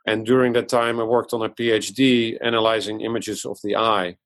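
A man's voice: on the low side, level tone, brisk. Dutch-accented man explaining thoughtfully. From Netherlands. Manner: thoughtful, explanatory, with frequent restarts. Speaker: guest, eye surgeon and company founder. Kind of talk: podcast interview answers.

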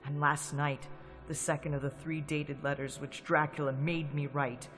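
A man's voice: on the high side, flat delivery, moderate pace, a bit bright, close to an everyday voice. An actor playing a frightened young Englishman narrating his journal in a radio drama reenactment, earnest and tense. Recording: long hall reverb with music.

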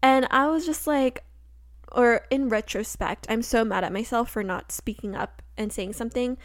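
A woman's voice: a little high, moderate pace, no echo. Rambling young American woman, dry sound. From United States. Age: young adult. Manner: rambling, heavy use of "like". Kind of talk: casual chat.

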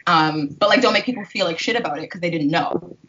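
Brief: thirties woman, frank co-host, lowish voice, muffled lo-fi audio. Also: fast, a bit bright. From Jamaica. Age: 30s. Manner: frank, filler-heavy, swearing. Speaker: podcast co-host. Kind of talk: casual chat.

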